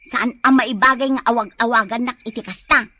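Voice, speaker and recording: A woman's voice: a little high, fairly even intonation, a little fast, sharp tone. A woman playing a nagging but affectionate village wife in a comic radio drama, teasing and complaining.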